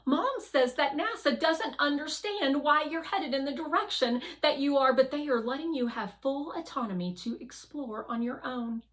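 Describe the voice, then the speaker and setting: A woman narrating a children's novel aloud in an expressive, deliberate read-aloud style. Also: high voice.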